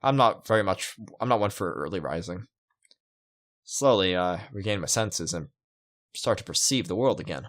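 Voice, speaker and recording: A man's voice: deep voice, expressive, moderate pace, a bit bright. A man playing a visual novel, reading it aloud and musing with casual asides. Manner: musing, reacting aloud to the story. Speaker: let's player. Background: game music.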